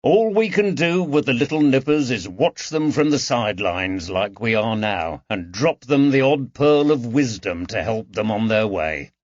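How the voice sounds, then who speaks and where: A man's voice: medium pitch, expressive, a little slow, hardly any pauses, no echo, natural voice. A man voicing a good-natured, plain-spoken working-class father with homely, colloquial speech in an audiobook. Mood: homely, philosophical. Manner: colloquial, homely, unschooled grammar. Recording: dull lo-fi recording.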